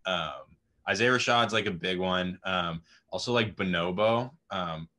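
A young man in his twenties with a raspy voice, chatting casually as a guest musician on a video call, rambling with lots of fillers. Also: sits low, a little slow, sharp tone. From Austin, Texas. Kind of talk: casual interview chat. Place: home room with music gear. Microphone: video call webcam.

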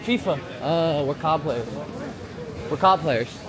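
A young man vlogging on a handheld camera through an airport, joking loudly with friends. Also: on the high side, takes it slowly, a crowd in the background, poor audio. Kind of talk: casual vlog chat. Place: airport terminal and plane.

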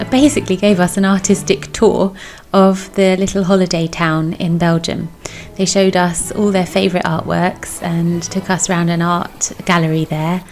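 Creaky low-voiced woman vlogging. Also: darkish tone. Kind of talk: chatty vlog voice-over. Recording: background music. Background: music.

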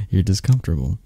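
A man playing a possessive, tender, flirtatious mafia boss in an intimate, soft-spoken ASMR roleplay, murmuring and coaxing with hesitant phrasing. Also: fairly low pitch, breathy edge, no room sound, heavily acted.

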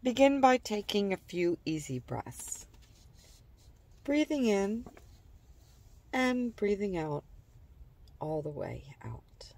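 Slow, mellow woman guiding relaxation. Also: mid-range voice, far from the mic. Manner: calm, gentle, soothing, with trailing phrase endings. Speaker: guided meditation narrator. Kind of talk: slow guided imagery relaxation narration.

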